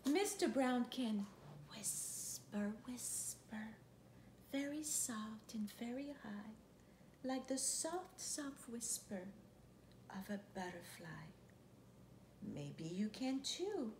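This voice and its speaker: A woman's voice: a little high, expressive, slow, darkish tone. A woman in her thirties reading a children's picture book aloud expressively, drawing out words and playfully imitating noises. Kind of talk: animated picture-book read-aloud with playful sound effects. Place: living room couch, indoors. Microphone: camera a few feet away. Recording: roomy, echoey sound with faint noise.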